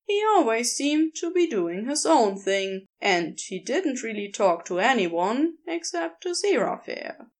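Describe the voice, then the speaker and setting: Expressive, slow-paced woman reading a story. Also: on the high side. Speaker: podfic narrator. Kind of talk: scripted narration, storytelling.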